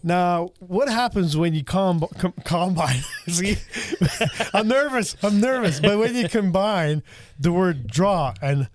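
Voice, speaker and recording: A man from Slovakia with a Slovak accent, hosting a casual podcast chat, laughing often and talking in quick bursts. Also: high-pitched, lively intonation, no echo. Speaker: podcast host.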